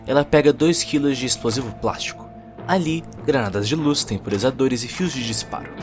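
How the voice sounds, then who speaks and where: A Brazilian man narrating a dramatic scripted audio story in a theatrical, deliberate way, with short emphatic phrases. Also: medium pitch. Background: prominent music.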